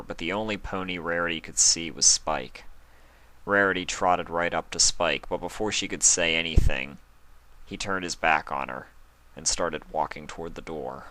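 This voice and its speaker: A man narrating a fan fiction reading in an even, low-key manner. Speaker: fanfic reader. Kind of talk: storybook narration.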